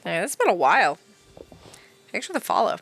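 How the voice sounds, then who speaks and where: A woman's voice: mid-range voice, wildly swooping pitch, a little fast, vocal fry, fairly bright tone, husky. A woman livestreaming a game with casual, slangy, sardonic commentary and some swearing. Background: game music.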